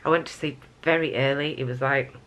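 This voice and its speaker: A middle-aged woman with a croaky, just-woken voice, chatting casually to her camera at home in the morning, rambling with lots of fillers. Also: very deep voice, fairly even intonation, fairly quick, a bit bright, rough recording. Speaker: vlogger. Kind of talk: casual morning vlog chat. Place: family home kitchen.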